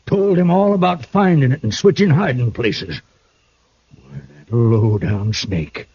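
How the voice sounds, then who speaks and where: An actor playing a nervous, stammering backwoods man in a 1950s radio drama, with a raspy voice. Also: medium pitch, animated, a little slow, darkish tone, no room sound. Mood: bewildered. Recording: dull vintage radio recording.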